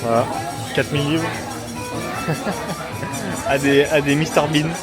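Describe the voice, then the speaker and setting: A man's voice: a little high, fairly even intonation, slow pace. A young man hosting a casual travel vlog, talking to a handheld camera in an upbeat, joking way as he walks through a hotel room, busy streets and shops. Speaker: vlogger host. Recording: loud music and crowd noise behind.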